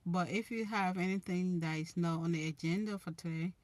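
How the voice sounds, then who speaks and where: A woman's voice: on the low side, fairly even intonation, fairly quick, a little mellow, dry recording. A young Liberian woman with a Liberian accent, hosting a casual live chat about relationships and giving earnest advice, restarting her phrases often. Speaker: relationship channel host. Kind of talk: casual live-stream chat answering viewers.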